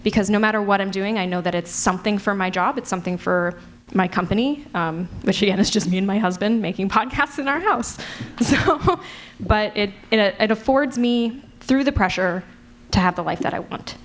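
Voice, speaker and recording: A woman's voice: mid-range voice, normal speed. A woman talking casually and candidly as a podcast maker on a panel, with a self-deprecating manner. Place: convention panel room.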